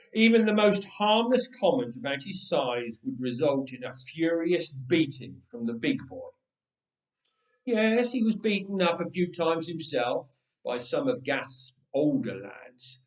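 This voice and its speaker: A man reading a story aloud expressively, with dramatic pauses, emphasis and drawn-out phrases. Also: on the high side, darkish tone. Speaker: story reader. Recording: echoey room, distant microphone.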